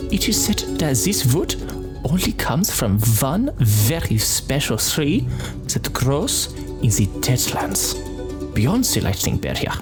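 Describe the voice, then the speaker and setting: Lively put-on craftsman, slow and musing, played by a man over music. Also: mid-range voice. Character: male woodworker with a German flair, helpful.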